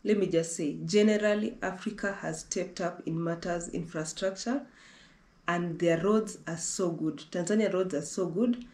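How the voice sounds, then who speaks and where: A woman's voice: lowish voice, normal speed, a little mellow. Young woman, from Kenya, travel vlogger, casual chat, restarts a lot, roomy echo. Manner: chatty, repeats herself, restarts often. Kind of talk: casual conversational travel talk. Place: indoor living room on a sofa.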